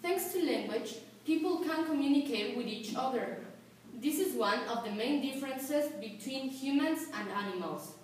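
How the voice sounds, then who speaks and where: High-voiced teen girl with Mexican accent. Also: animated. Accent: Mexican Spanish. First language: Spanish. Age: teens. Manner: careful, deliberate, phrase by phrase. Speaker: student. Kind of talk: recited school speech. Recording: echoey room, distant mic, faint hiss.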